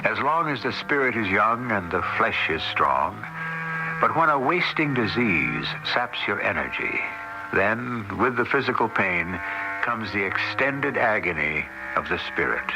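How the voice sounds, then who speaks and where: A man hosting a radio mystery series, narrating in a grave, deliberate, theatrical old-time style. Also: on the low side, big pitch swings, poor audio. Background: music.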